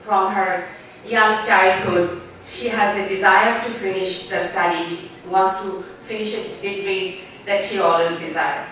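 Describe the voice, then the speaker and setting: A woman in her forties from Bangladesh with a Bangladeshi accent, giving a heartfelt, halting speech as a newspaper editor at a formal event. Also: medium pitch, steady tone, fairly bright tone. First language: Bengali. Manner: halting, heartfelt, loose grammar. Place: large banquet hall. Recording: echoey hall, distant mic, faint room noise.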